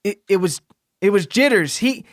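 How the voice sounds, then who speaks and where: A man's voice: sits high, lively intonation, moderate pace, dry recording, studio quality, pitched above the performer's own voice. A man voicing a frightened young boy who stammers meekly and politely to his father in a narrated story. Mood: anxious, pleading. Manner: stuttering, polite, meek.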